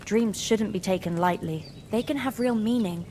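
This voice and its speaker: Actress as kindly young village woman, mid pitch. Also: normal speed, mellow, a little hiss or hum, natural voice. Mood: gentle concern. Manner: folksy, reassuring. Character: kind, forthright young peasant village daughter. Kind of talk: dramatic video game acting.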